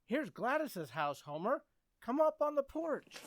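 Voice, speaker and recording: Eager teenage schemer boy, played by a woman, highish and very animated, old-time radio comedy. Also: unhurried. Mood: coaxing, eager.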